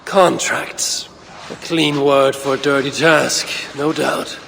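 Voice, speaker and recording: An actor playing a proud, wry Norse god in middle age in a video game, speaking in measured, dry, archaic phrases. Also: male voice, medium pitch, steady tone, vocal fry, a bit bright. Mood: sceptical. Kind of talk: game cutscene acting. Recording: long hall reverb, faint background noise.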